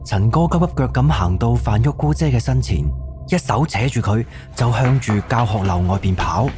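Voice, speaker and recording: A man's voice: medium pitch, lively intonation, normal speed. A man with a Cantonese accent narrating a scripted suspense ghost story for an audio drama. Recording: soft music under the voice. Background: background music.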